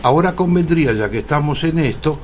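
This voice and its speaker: An older man with a Rioplatense Argentine accent, explaining things deliberately as a guest in a radio interview. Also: a little high, animated, soft background music. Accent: Rioplatense Argentine Spanish. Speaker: interview guest, theatre actor.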